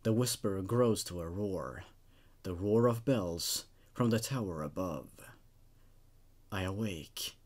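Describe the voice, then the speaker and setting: A man's voice: fairly low pitch, a little mellow. A man in his twenties, a non-native English speaker, hosting and narrating horror stories into a close studio microphone. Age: 20s. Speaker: YouTube host reading horror stories. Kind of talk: story narration and casual commentary. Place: small dark indoor room. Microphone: studio microphone on a boom arm, close.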